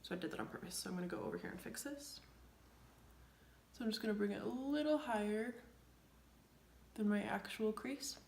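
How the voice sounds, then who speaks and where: Young woman, sniffly from a cold, rambling beauty chat, soft near-whispers, mid pitch, echoey room. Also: animated, a little fast, creaky, pauses often, slight background noise. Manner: chatty, rambling, many fillers, drops to soft near-whispers. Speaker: beauty vlogger. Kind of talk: casual makeup tutorial chat.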